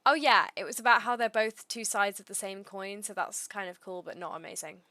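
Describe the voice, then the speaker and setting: A woman streamer with a dry, understated delivery, reading aloud and chatting casually in a deadpan way. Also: mid-range voice, brisk, no echo.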